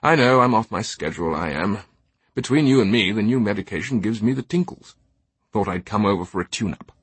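A man's voice: on the low side, expressive, a little fast, husky, no room sound. A man voicing a jovial, posh older British knight in an audiobook, breezy and blustering with theatrical indignation.

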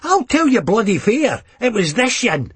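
Story reading: man playing an enraged older Scottish mother, high, loud, husky, venomous. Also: sing-song, moderate pace, no echo, exaggerated voice. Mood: furious. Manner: venomous, shouting accusations.

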